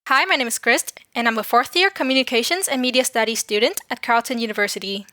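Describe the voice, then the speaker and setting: Bright, fast-talking young woman. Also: fairly high pitch, dry recording, clean studio sound. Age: young adult. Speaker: student producer. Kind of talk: scripted self-introduction.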